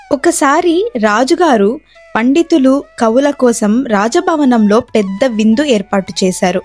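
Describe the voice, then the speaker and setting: Woman narrator, fairly high pitch, scripted storytelling, soft background music.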